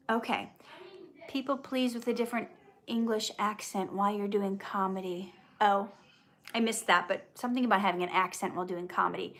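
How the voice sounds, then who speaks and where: Higher-voiced American woman, earnest and encouraging. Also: fairly bright tone. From Minnesota, United States. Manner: earnest, encouraging, talking directly to viewers. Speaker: livestream host, actress and coach. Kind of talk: casual live question-and-answer chat.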